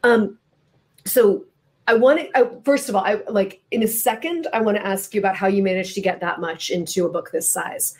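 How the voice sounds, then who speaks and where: A woman's voice: medium pitch, moderate pace. A woman moderating a literary interview, conversational and a little wry, building long questions piece by piece.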